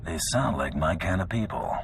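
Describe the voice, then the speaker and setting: A cocky bounty hunter from a game cutscene, with an extremely low voice. He speaks tersely, with dry confidence and a sardonic edge, over faint background noise. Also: expressive, normal speed. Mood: cocky, curt. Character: gruff, cocky bounty hunter, adult man, dryly confident. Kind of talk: video game cutscene acting.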